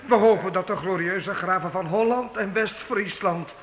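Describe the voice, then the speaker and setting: A man's voice: high voice, normal speed, lightly acted. A man acting a humble wandering minstrel in a radio drama, ceremonious and flowery in his declaiming. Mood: humble, pleading. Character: humble wandering minstrel, courtly, theatrical beggar-singer. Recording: echoey old radio play, loud crowd.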